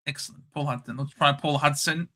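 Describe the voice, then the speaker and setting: A man's voice: on the high side, steady tone, brisk, pushed and bright. An Australian man hosting a casual livestream, chatting, thinking aloud and reading out viewers' names. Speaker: livestream host.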